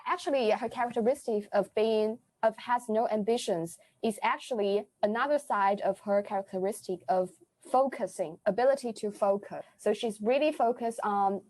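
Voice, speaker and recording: A woman answering as a podcast guest, explaining deliberately and searching for words as she goes. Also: on the high side, darkish tone. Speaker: podcast guest, amateur actor.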